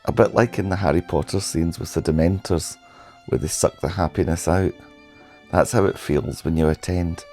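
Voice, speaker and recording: Hoarse man with a very deep voice. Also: fairly even intonation, mellow, soft background music. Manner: solemn, measured storytelling. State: hoarse from a sore throat. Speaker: history channel host. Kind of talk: scripted documentary narration.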